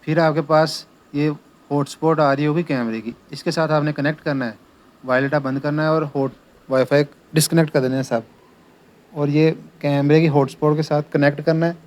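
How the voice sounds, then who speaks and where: A man with a clear, smooth voice talks through an informal how-to. He goes step by step like a working technician showing a job, and repeats his instructions as he goes. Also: fairly high pitch, fairly even intonation, normal speed, a little mellow. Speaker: electrician giving installation tutorial. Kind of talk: informal hands-on tutorial narration.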